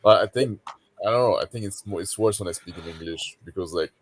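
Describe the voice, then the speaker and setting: Nasal quebecois french guy, just woke up, deep low voice, dry laptop mic. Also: fast, slightly rough, dry recording. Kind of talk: casual chat.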